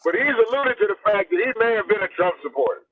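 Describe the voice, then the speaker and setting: A man with a notably high voice calls in over a thin, tinny phone line. He talks in an animated, profane way, tossing in laughing asides as he makes his case. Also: fast, no room sound. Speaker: guest.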